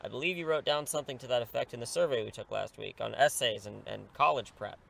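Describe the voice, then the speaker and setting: A man's voice: mid-range voice, fast talker, gravelly, a bit bright. An actor in an audio drama playing an earnest, persuasive teacher in his thirties who is secretly a superhero, pleading and wryly self-deprecating. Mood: reassuring, persuasive. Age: 30s.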